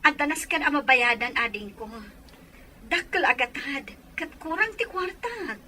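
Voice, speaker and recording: A woman's voice: sits high, sing-song, a little slow, bright, forceful tone, heavily acted. A woman playing a worried elder sister in an audio drama, pleading and apologetic as she talks. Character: worried, pressed elder sister short of money.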